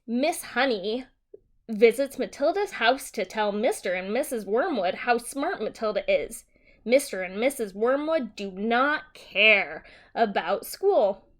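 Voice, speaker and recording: A woman teacher reading a simplified children's story aloud and explaining it in a deliberate, simple way for young children. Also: on the high side, animated, fairly bright tone.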